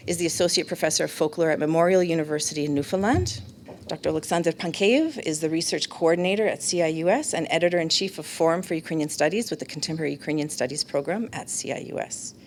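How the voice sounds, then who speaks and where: Moderator, woman, low-ish voice, formal introductions, faint low rumble. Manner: measured, slows down to pose questions. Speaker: round table moderator.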